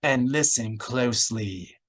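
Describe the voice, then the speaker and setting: A man's voice: medium pitch, slow pace, fairly bright tone. A man voicing a proud, threatening woman in an audiobook, biting and imperious. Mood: angry, curt.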